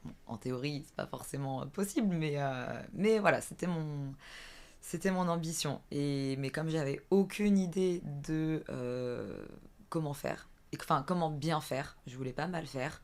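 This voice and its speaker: A woman in her thirties from the Vendée in France, a fitness coach chatting as a podcast guest, often pausing with filler sounds. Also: sits low, a little mellow. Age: 30s. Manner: hesitant, many filler sounds and restarts. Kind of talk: casual interview chat.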